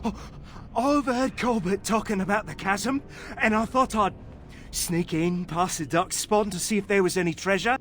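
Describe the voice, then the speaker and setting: A man's voice: sits high, moderate pace, a bit bright, a little hiss or hum. A man playing a frightened, pleading captive treasure thief in a video game, anxious and desperate. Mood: sheepish.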